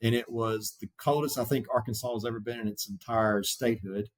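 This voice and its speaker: A man's voice: mid-range voice, fairly even intonation, brisk. Male guest, competition barbecue cook, chatty storyteller, mid-range voice. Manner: talkative, rambling anecdotes. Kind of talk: casual storytelling.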